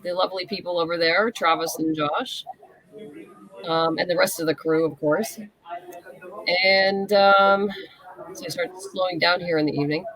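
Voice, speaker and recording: A woman's voice: lowish voice, fairly even intonation, normal speed, pauses often, fairly bright tone, low-quality recording. A woman speaking casually as the guest in an interview.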